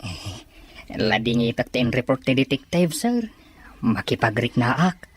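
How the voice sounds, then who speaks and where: A woman's voice: rumbling low, expressive, a little slow, breathy edge, exaggerated voice. A woman playing a deferential, concerned aide in a radio drama. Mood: respectful, concerned.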